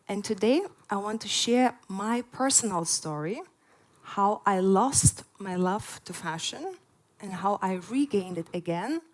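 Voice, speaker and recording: A woman's voice: medium pitch, a little mellow. A woman in her forties, originally from Lithuania, giving an earnest prepared talk at a festival with frequent "uh" fillers. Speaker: conference speaker. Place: festival stage before an audience.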